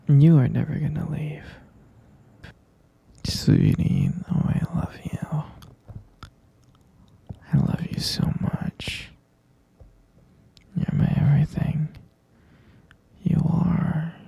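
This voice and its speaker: A man voicing an obsessive, tender yet menacing kidnapper boyfriend in a whispered ASMR roleplay, slow and coaxing, breathy and raspy, close to the microphone. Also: on the high side, warm tone, dry recording, heavily acted. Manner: slow, coaxing, repetitive endearments.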